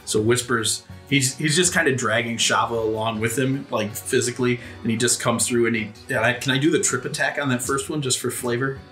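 A man with a bright, forceful voice of middling pitch plays a tabletop game. He chats casually and narrates what his character does, over faint music. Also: fairly even intonation, a little fast, few pauses. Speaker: player in a tabletop game podcast.

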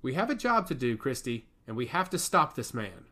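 A man playing a calm, dutiful soldier. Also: medium pitch, fairly quick. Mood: resolute. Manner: calm and resolute. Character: steady, dutiful male soldier. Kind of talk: audiobook character reading.

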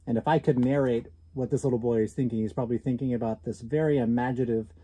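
An American man in his thirties reading a picture book aloud to children, commenting and asking questions with drawn-out emphasis on key words. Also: medium pitch, steady tone, warm tone, breathy edge, poor audio. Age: 30s. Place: small indoor room at home.

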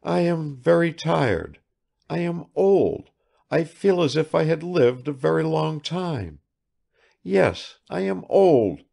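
A man plays a proud young noblewoman in a literary audiobook. The voice is raised high and moves slowly, with wide, expressive swings and a languid, world-weary, searching manner. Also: creaky, exaggerated voice. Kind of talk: dramatised literary audiobook dialogue.

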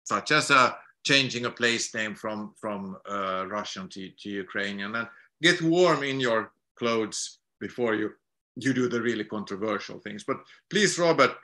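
A middle-aged man from Finland talks over a video call from a home study lined with books. His voice is strikingly bright and his delivery halting, with many restarts and repeated words as he explains. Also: lowish voice, lively intonation. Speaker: guest lecturer.

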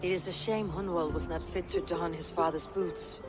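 A man's voice: a little high, moderate pace, loud music behind the voice, close to an everyday voice. A man playing a blunt, wry Viking warrior chieftain in a video game cutscene, speaking steadily and with confidence. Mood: firm. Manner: steady, declarative, dryly wry.

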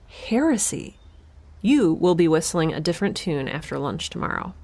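A woman's voice: on the low side, big pitch swings, normal speed, darkish tone, husky. A young woman giving a wry, chatty scripted monologue as the lead of an audio drama. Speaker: solo audio drama narrator and protagonist.

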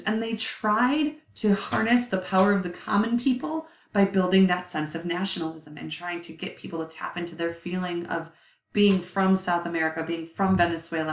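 A woman in her thirties giving a recorded history lecture over slides, chatty and often correcting herself with ums. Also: on the low side. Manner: conversational, self-correcting, many ums. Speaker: history teacher. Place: indoor room. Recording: roomy, slightly distant webcam audio.

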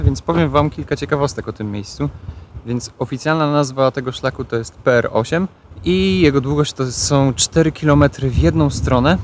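A man's voice: mid-range voice, a little hiss or hum. A Polish man giving casual travel vlog narration as host, speaking outdoors on a hiking trail. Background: music.

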